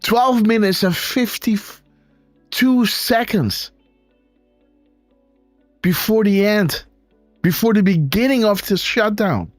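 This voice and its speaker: A man with a Dutch accent hosting a live show, excitedly commenting on pictures and calling out to his viewers. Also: high-pitched, slow, pauses often, no room sound, soft background music. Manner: excitable, exclaiming, talks to viewers as guys. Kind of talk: casual live-stream commentary on images.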